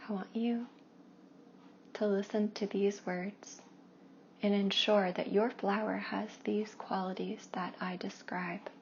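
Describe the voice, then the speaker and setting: A woman speaks as a meditation guide at a slow, unhurried pace. Her pitch stays even, and her soft phrases trail toward a whisper between long pauses. The recording is a little dull, with faint noise behind her. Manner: hushed, soothing, drawn-out phrases with long pauses. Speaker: meditation guide and Reiki practitioner.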